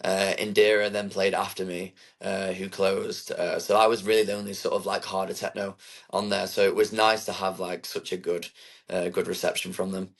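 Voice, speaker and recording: A young man, answering interview questions in an easygoing, rambling way. His voice sits low and carries a gravelly fry. He leans on fillers and restarts as he talks. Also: flat delivery, normal speed. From England. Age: 20s. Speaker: techno DJ, interview guest. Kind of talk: casual interview chat.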